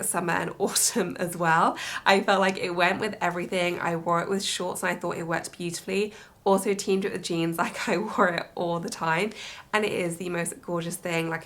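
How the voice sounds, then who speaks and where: A young woman with a congested, bunged-up nose talks through a clothing haul in a casual, chatty way. Her voice sits slightly low for a woman, and she piles on enthusiastic superlatives about every item. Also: a bit bright. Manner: gushing, enthusiastic, lots of superlatives. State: congested, stuffy-nosed from allergies. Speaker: fashion vlogger. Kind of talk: casual haul chat to camera.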